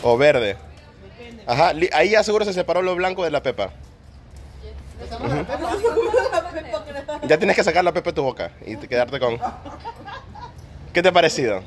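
A man's voice: on the high side, animated, moderate pace, long pauses, a crowd in the background. A man with a Peruvian Spanish accent hosting a casual food vlog, playful and colloquial as he talks straight to viewers. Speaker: food vlogger and host. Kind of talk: casual cooking vlog chat.

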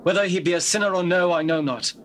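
An earnest, high-voiced healed beggar. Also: male voice, quick pace, fairly bright tone. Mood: defiant. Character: formerly blind beggar man, earnest and defiant. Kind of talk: dramatized scripture reading, stage-like acting. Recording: noisy old cassette tape recording.

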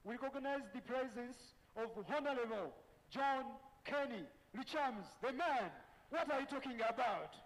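A middle-aged man with a Ugandan accent, deliberately and emphatically announcing as master of ceremonies at a formal graduation. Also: very high voice. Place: large event tent. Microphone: handheld microphone. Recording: reverberant PA sound in large tent.

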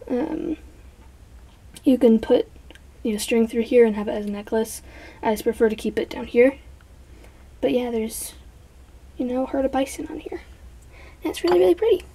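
A young woman, congested from allergies, chatting casually and softly as she shows off her collection, sometimes dropping to a whisper. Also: fairly high pitch. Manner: chatty, rambling, soft, drops into whispers. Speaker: vlogger. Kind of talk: casual show-and-tell vlog. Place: bedroom. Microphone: handheld camera.

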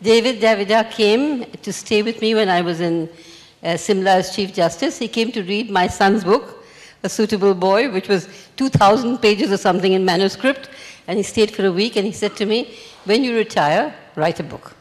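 Elderly woman from india telling her story slowly on a festival stage, handheld mic, long echo in the hall. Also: mid-range voice. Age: 80s. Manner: measured, reflective storytelling. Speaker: panelist, memoir author. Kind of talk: panel discussion. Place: large stage at a literature festival.

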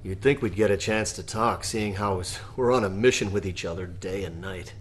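A determined leader in a radio drama played by a man. His voice is fairly low and his manner serious and direct. There is faint noise behind him. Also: normal speed, lightly acted. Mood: subdued. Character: earnest, determined male leader on a mission. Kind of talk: audio drama acting.

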